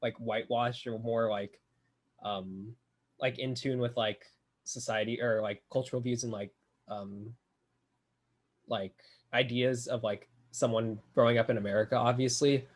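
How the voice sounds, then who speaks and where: A man's voice: medium pitch, steady tone, measured pace. A young male college student on a podcast, rambling and leaning heavily on "like" while he thinks aloud. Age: young adult. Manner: rambling, heavy use of "like". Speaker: college student, club officer. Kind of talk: casual podcast chat.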